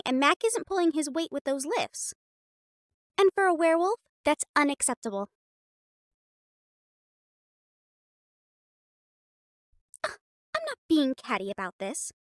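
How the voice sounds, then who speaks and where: Girl voice actor doing a high voiced sassy catgirl cheer captain, bossy mean girl type, super dry close sound, audio rp. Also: dry recording. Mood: sassy, proud. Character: bossy, sharp-tongued young catgirl cheerleader captain, secretly fond. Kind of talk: scripted audio roleplay acting.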